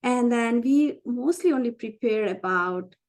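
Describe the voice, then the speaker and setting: Higher-pitched woman with a Nepali accent. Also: expressive, a little slow, darkish tone. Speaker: interview guest, physician and founder. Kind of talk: conversational personal account.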